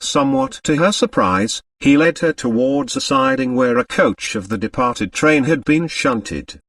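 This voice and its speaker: A man's voice: on the high side, huge pitch swings, unhurried, creaky, a bit bright, no echo, far from the mic. A man reading scripted literary narration as an audiobook narrator.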